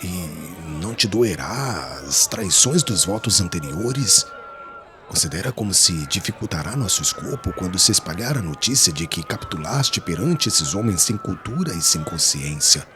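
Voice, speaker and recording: A man acts out a proud Pharisee with a very low voice and lively, swooping intonation. He is haughty and offended, questioning with scornful disbelief in an audiobook drama, over music. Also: moderate pace. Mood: scornful, reproachful. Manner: haughty, incredulous, rhetorical questioning.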